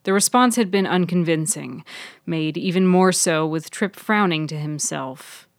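A low-voiced woman narrates scripted fiction for a podcast. Her voice is recorded close and dry, with no room around it. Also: a little slow, no room sound.

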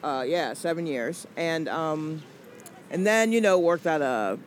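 A woman's voice: deep voice, animated, unhurried, slight background noise. A woman in her forties from the American South, a comedian chatting in an interview, rambling and joking with lots of fillers. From Atlanta, US South. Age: 40s. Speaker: comedian guest. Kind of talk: casual interview chat.

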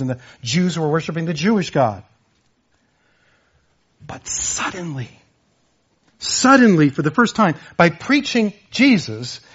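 A man's voice: on the high side, husky, dull audio. A man preaching a sermon, earnest and emphatic, repeating words and phrases as he drives his points home. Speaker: pastor.

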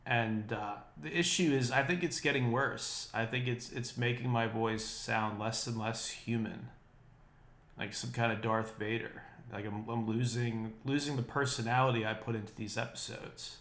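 A man's voice: mid-range voice, steady tone, moderate pace, a bit bright, echoey room. A man hosting his own podcast, talking through the news in a wry, opinionated, rambling way, his voice a bit nasal and congested. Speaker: podcast host. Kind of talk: casual solo podcast monologue. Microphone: unprocessed podcast mic.

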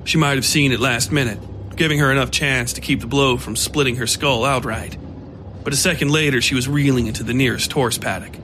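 A man giving scripted narration of a dark horror story. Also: medium pitch, fairly even intonation, brisk, background noise.

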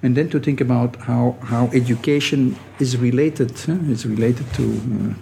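A middle-aged man, a native Dutch speaker with a Dutch accent, giving thoughtful, halting answers as an academic guest in an interview. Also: mid-range voice, steady tone, creaky, dark tone, a little hiss or hum. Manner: thoughtful, halting, often restarting phrases. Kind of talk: reflective interview talk.

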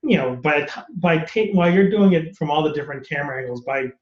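A man's voice: on the high side, animated, fast, echoey room, dull audio. A man, an actor, talking candidly and casually on a podcast, rambling and laughing often. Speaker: actor guest.

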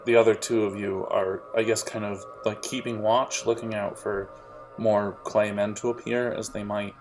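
A man narrating a tabletop role-playing game as game master, halting with fillers and restarts. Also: on the low side, level tone, faint music behind.

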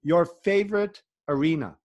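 A man hosting a relaxed interview over a video call, laughing along with his guest. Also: on the high side, moderate pace. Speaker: podcast host.

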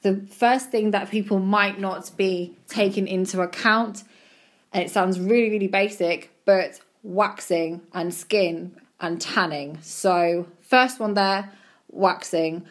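A woman giving chatty, encouraging advice about bodybuilding show prep, her voice slightly croaky. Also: mid-range voice. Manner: chatty, encouraging, repeats words for emphasis. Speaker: bodybuilding competitor and podcast host. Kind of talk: casual advice monologue.

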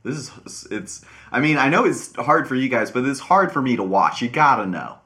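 A man's voice: mid-range voice, big pitch swings, normal speed, pushed and bright. A man hosting a podcast quiz game in casual chat, teasing and laughing often. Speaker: podcast host and quizmaster.

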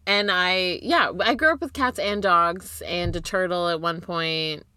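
A woman's voice: lowish voice, a little slow, bright, forceful tone, smooth, no echo, clean studio sound. A woman co-hosting a podcast, chatting casually in an informal, rambling and earnest way. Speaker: podcast co-host. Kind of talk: casual chat.

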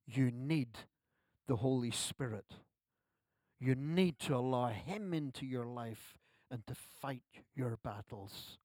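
A man's voice: medium pitch, slow, darkish tone, no room sound, clean studio sound. A man, preaching a sermon at a church service in a conversational way with self-deprecating asides. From Ireland. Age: 60s. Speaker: pastor.

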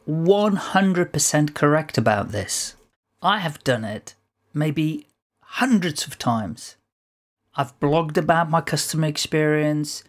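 The host of an interview show, introducing a guest and asking him questions. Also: medium pitch. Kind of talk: podcast interview.

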